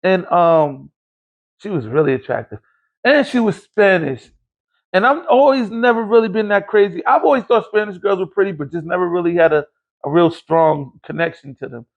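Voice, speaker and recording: Man, late forties, podcast host, gravelly voice, somewhat high pitch, rambling and profane. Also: lively intonation, normal speed, lo-fi sound. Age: 40s. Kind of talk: casual podcast chat.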